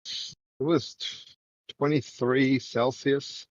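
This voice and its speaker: A man from New Zealand with a New Zealand accent, chatting casually on a voice call while walking outdoors, halting and full of fillers. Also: mid-range voice, fairly even intonation, no echo, dull audio. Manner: rambling, halting, many fillers.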